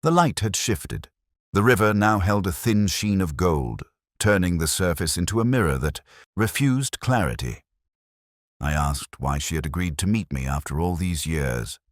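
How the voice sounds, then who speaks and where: A man reading scripted first-person mystery narration in a measured, literary, melancholy manner. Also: sits low, expressive, dry recording, clean studio sound.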